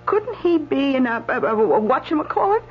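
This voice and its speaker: An actress in a radio drama playing a jittery, uncertain assistant who stammers and gropes for words. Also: female voice, sits high, very animated. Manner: halting, stammering, groping for words. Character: jittery, uncertain woman assisting a doctor at a morgue. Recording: dull old radio broadcast, faint hum.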